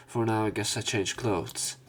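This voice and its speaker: A man reading visual novel text aloud as a let's play narrator, in a somewhat halting manner. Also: on the low side, slightly rough. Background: game music.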